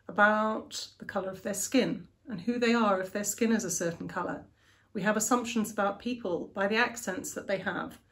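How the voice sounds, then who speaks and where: A woman in her forties with a posh English accent, hosting a reflective solo talk from her living room with frequent ums and light laughs. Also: mid-range voice. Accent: posh southern English. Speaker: podcast host. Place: living room at home, indoors.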